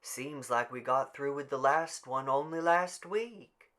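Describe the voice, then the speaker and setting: A man's voice: medium pitch, a little slow, fairly bright tone, pitched above the performer's own voice, lightly acted. A man voicing a chatty, folksy village housewife in an audiobook. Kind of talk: audiobook character voice.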